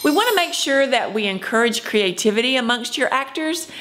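A woman's voice: a little high, animated, fairly quick, fairly bright tone, soft background music. A woman in her fifties presenting a scripted instructional video as a drama teacher, speaking emphatically to camera. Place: indoor room at home.

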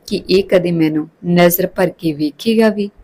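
A woman's voice: lowish voice, normal speed, warm tone, natural voice. A woman storyteller voicing a shy, insecure, lovestruck young female college student in a wistful way. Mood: wistful longing. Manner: wistful, yearning.

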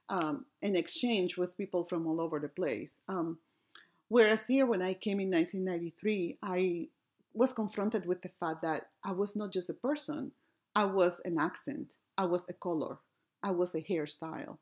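A woman from gives a reflective presentation over slides, pausing often with ums and restarting her phrases. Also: on the low side. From Puerto Rico. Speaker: design lecturer.

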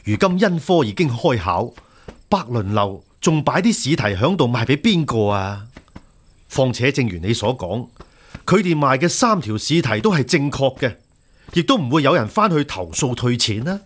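A savvy court censor talking sense, hong kong cantonese radio play, husky and very expressive. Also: male voice, medium pitch, moderate pace. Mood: cautioning, concerned. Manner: reasoning, persuasive. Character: shrewd, steady male imperial censor advising a friend. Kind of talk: radio drama acting.

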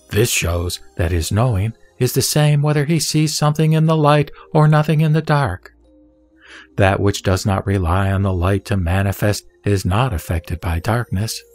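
A man giving a formal, measured, solemn narration of scripture for an audio drama. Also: lowish voice, darkish tone, dry recording. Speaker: narrator and reciter. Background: music.

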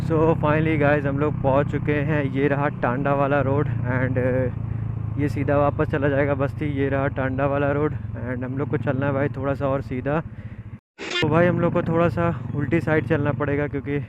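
Young man vlogging from his bike, steady flat delivery, muffled helmet-cam sound with clear road noise. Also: mid-range voice, normal speed. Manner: chatty, keeps calling viewers brother. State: voice a bit off, feeling unwell. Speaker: motovlogger. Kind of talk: casual running commentary while riding. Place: on a motorcycle on open roads. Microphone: helmet action camera mic.

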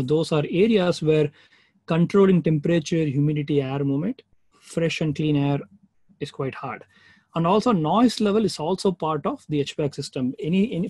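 Man teaching, slightly high husky voice. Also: a little mellow, no echo. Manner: explanatory, often checking with "right?" and "okay?". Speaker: webinar instructor. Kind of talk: informal online technical lecture with slides.

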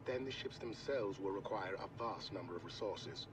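An actor playing a calm, careful military science advisor with a measured, precise delivery in a video game. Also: male voice, deep voice, distant mic, noisy background, lightly acted. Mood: calm, concerned. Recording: loud game music and effects behind.

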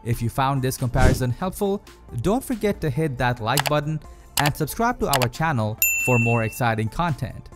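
A man delivers scripted explainer narration in a polished, presentational way. His mid-range voice moves up and down in a lively fashion, with faint music playing behind him. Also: mid-range voice, normal speed. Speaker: video narrator. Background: faint background music.

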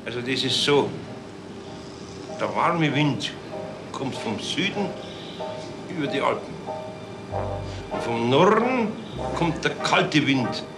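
An actor playing a polite gentleman who explains things earnestly in a radio drama. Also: mid-range voice, sing-song, slow, long pauses. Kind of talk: 1970s German radio drama acting. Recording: roomy sound with loud music behind.

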